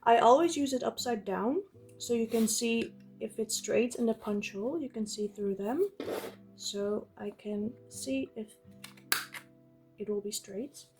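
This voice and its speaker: Casting: woman, Dutch accent, lightly high-pitched and leisurely, casual chatty crafting narration over soft background music. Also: measured pace. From Netherlands. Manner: chatty, informal. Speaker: craft vlogger. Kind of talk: casual narration while journaling.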